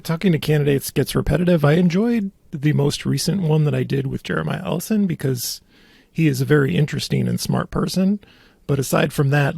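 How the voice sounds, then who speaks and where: A man hosts a casual podcast in a husky, very creaky voice. His pitch sits a little high for a man, and the sound is dry and close. He talks in a halting, wry, conversational way. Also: a little mellow, no echo. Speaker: podcast host.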